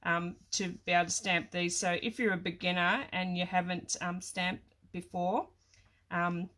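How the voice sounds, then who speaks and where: A middle-aged Australian woman with a croaky voice from a cold, chatting casually as she hosts a craft livestream in a hesitant, rambling way. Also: on the low side, flat delivery, unhurried, pushed and bright. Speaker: craft demonstrator and livestream host. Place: small home craft room.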